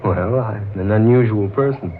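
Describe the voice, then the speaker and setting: An actor playing a suave, mysterious gentleman in a 1940s radio drama. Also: on the low side, normal speed, natural voice. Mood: suave, teasing. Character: Duke, suave, mysterious businessman suitor. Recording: noisy, narrow-band old radio recording.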